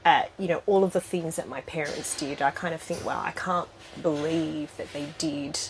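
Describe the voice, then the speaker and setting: Middle-aged Australian woman, podcast guest; frank, chatty, easy laugh, slightly low voice. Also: steady tone, moderate pace, noisy background. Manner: frank, laughs often, many fillers. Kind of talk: casual interview chat.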